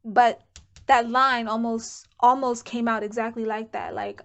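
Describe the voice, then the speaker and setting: A woman's voice: on the high side, fairly even intonation, measured pace. Chatty woman giving a tarot reading. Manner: chatty and candid, thinking aloud. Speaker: tarot card reader. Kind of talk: casual pick-a-card reading to camera. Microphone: camera mic.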